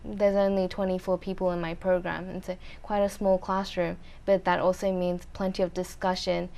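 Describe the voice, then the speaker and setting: A young woman answering interview questions in a thoughtful, measured way with frequent pauses. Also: lowish voice, level tone, gravelly, warm tone. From Australia. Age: young adult. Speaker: interview guest, university student.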